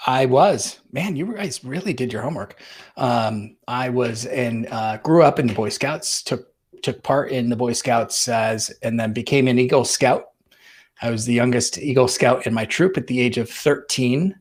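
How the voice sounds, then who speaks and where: A man's voice: mid-range voice, moderate pace, breathy edge. Rambling man with many fillers. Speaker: guest. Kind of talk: casual chat.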